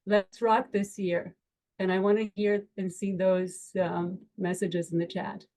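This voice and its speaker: A woman's voice: mid-range voice, little pitch movement, mellow. A woman from Romania, an attorney, addressing a virtual community meetup in an earnest, uplifting way on a video call. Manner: earnest, uplifting, slow and deliberate with emphatic lists. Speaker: attorney and education board member.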